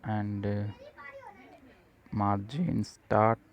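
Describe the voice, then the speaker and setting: A man narrating a step-by-step software tutorial, speaking in halting short phrases as he dictates each step. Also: fairly low pitch, steady tone, creaky, highs cut off. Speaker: tutorial presenter.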